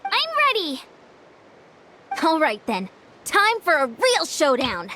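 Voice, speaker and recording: A woman's voice: sits high, wildly swooping pitch, slow pace, background noise, full character voice. A woman voicing a spirited, eager and loyal young warrior girl in a video game. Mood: fierce.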